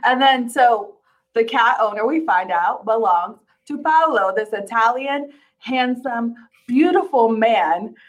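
A woman co-hosting a casual recap chat about a TV show, telling things in an animated, giggly way. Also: fairly high pitch, slow. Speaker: podcast co-host.

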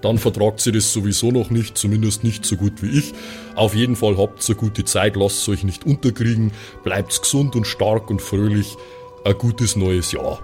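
A man's voice: on the low side, fairly even intonation, moderate pace, a little mellow, soft background music. A man with a Bavarian accent chatting casually as a player in an improvised audio game.

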